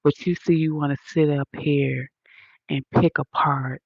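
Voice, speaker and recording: A woman's voice: low voice, steady tone, a little slow, creaky, darkish tone, slightly rough, dry recording, dull audio. A woman with a Southern accent hosting a livestream, lecturing her chat in an indignant, emphatic way. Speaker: livestream host reading chat. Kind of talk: casual livestream rant.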